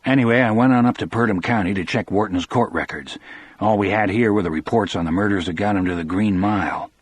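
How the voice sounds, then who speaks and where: Husky low-voiced male audiobook narrator. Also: quick pace, no room sound. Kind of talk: scripted first-person audiobook narration.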